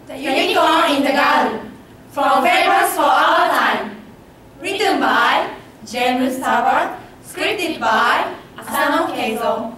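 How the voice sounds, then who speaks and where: A woman's voice: high voice, animated, slow, pushed and bright. A young woman giving scripted narration in a readers theatre stage performance. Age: young adult. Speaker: student narrator. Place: large indoor symposium room, recorded from afar. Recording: very echoey hall, distant, noisy.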